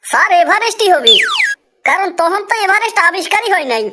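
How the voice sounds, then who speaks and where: A woman's voice: very high voice, big pitch swings, brisk, heavy background noise. A woman voicing a polite, confident cartoon job candidate, explaining answers patiently and deferentially. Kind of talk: comic cartoon dialogue.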